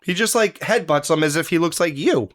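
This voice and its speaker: A man's voice: on the high side, expressive, brisk. A man co-hosting a podcast, reviewing an action movie in loose, crude banter and retelling scenes with a joking edge. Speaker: podcast co-host. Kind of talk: casual movie review chat.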